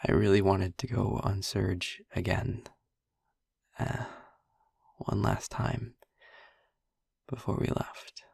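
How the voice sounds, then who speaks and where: Audio roleplay vampire boyfriend, male actor: low, very breathy husky voice, tender, halting confession on a close dry mic. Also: flat delivery, no room sound, heavily acted. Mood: wistful, reminiscing. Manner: hesitant, halting, soft-spoken, confiding. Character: gentle young-looking male vampire boyfriend confiding painful past. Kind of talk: intimate audio roleplay monologue.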